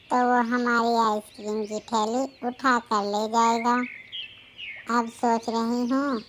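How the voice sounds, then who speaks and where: A woman's voice: on the high side, a little hiss or hum. A woman voicing a humble, earnest widowed bird who sells ice cream in a children's cartoon, speaking politely. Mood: troubled. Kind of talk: Hindi children's cartoon voice acting.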